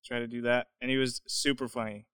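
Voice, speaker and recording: A man's voice: mid-range voice, level tone, brisk, a bit bright, clear, resonant tone, no echo. A man of twenty-two, a stand-up comedian guesting on a podcast, joking playfully in casual chat. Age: 20s.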